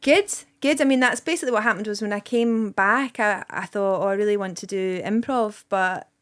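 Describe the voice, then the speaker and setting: A woman's voice: mid-range voice, a little fast. Scottish woman, 30s, rambly podcast guest, says like a lot. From Scotland. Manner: rambling, lots of "like" and "um", bursts of laughter. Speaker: guest comedian. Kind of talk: casual podcast chat.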